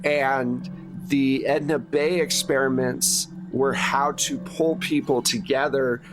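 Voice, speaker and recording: Slow-paced man narrating over music. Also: medium pitch, fairly even intonation, low-quality recording. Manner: pausing, piecing out sentences in short phrases. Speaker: game master of a tabletop actual play. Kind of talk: improvised narration of a tabletop horror game. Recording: music playing under the voice.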